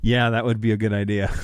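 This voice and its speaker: Man, middle-aged, low voice, slow unhurried pace, rambling casual livestream chat, dry close sound. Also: slight background noise. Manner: rambling, informal. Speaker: livestream host. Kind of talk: casual chat, thinking aloud while browsing.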